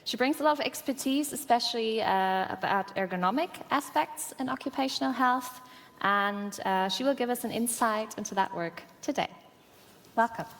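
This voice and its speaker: A woman's voice: on the high side, normal speed. Polite woman moderating in a hall. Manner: polite, thanking speakers, soft fillers. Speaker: conference moderator. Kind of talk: moderating a panel. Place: large conference hall. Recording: reverberant hall with faint ambience.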